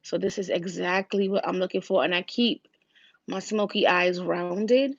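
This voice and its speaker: A woman with a raspy voice, casually talking viewers through a makeup look on a livestream, step by step. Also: on the low side, steady tone, unhurried, no echo, dull audio. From Virginia, US. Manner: chatty, talks viewers through each step. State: raspy, deeper voice than usual.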